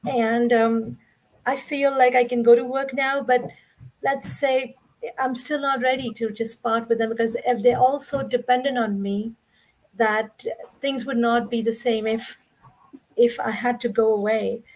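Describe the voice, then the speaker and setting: A woman's voice: a little high, level tone, a little slow, a little mellow, rough recording. A woman in her forties from India, a guest on a video call interview, telling her story in an earnest, conversational way. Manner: conversational, earnest, explains at length.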